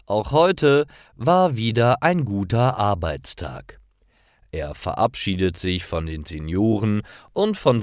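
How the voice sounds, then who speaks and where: A man reading simple stories for language learners as a scripted narration, in careful, clearly separated short phrases. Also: fairly low pitch, no echo, studio quality.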